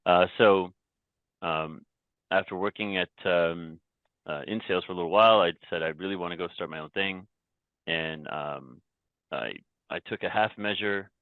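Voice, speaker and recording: A man's voice: sits low, normal speed, clean tone, no room sound. A man who grew up in Canada, a podcast guest and company founder telling his story reflectively, often restarting and repeating words. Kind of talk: casual interview talk. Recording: dry, tinny phone-like remote line.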